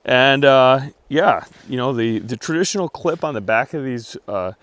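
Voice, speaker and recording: Brief: male product reviewer, casual and unscripted, thinking aloud with halting phrasing, dry recording free of room echo. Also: mid-range voice, a bit bright. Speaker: gear reviewer.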